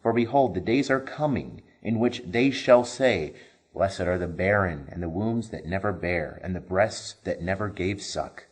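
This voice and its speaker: A man voicing a solemn, compassionate holy teacher with a grave, measured manner in an audio scripture reading. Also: sits low, husky. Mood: grave, sorrowful. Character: solemn, compassionate male holy teacher facing his execution.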